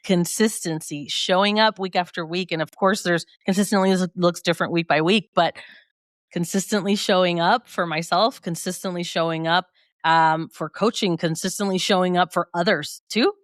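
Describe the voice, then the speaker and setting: A woman's voice: lowish voice, steady tone, normal speed, no room sound, studio quality. A woman guest in a conversational interview, reflective and upbeat, often laughing mid-sentence.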